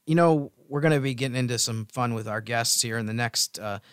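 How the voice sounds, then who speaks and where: A man's voice: mid-range voice, fairly quick, clear, resonant tone, no room sound. Man co-hosting sports talk, close dry sound. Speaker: sports podcast co-host.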